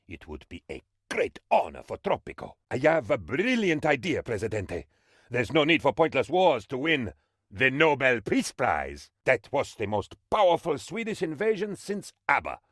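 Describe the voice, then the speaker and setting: A man voicing an excitable, pompous and dim-witted sycophantic aide to a dictator in a video game, with theatrical, naively enthusiastic delivery. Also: mid-range voice, normal speed, no room sound, studio quality. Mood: self-important. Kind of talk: video game character voice lines.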